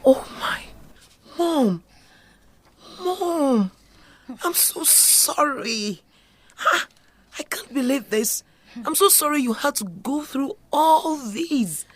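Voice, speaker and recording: A woman's voice: high voice, expressive, takes it slowly, long pauses, no echo. A young woman playing an emotional, incredulous Nigerian student daughter in an audio drama. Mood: horrified, sympathetic.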